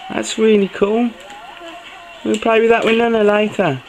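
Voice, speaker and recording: A man's voice: high-pitched, flat delivery, moderate pace, music in the background. A man chatting casually to his young child, prompting with questions, as a father filming at home. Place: living room at home. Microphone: handheld camera, held by speaker. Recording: noisy home camcorder audio.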